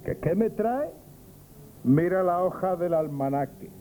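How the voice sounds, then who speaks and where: An actor from a radio comedy playing a jovial, pompous friend of a shopkeeper, with flowery speech and a Spanish accent. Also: male voice, high voice, lively intonation, unhurried, warm tone. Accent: Spain. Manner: pompous, flowery, grandiloquent wordplay, chuckling. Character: jovial old shop friend Liborio, pompous flowery talker. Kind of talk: comic radio theatre acting. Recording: noisy 1970s radio drama tape.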